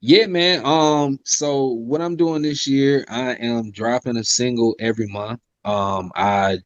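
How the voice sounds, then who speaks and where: A man's voice: slow, no echo. Guy, late 30s, laid back interview talk, lots of you knows, kinda dry dull sound. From South Carolina. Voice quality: high-pitched voice by his own account. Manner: polite, loose, heavy on "you know" fillers. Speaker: rapper and singer, interview guest.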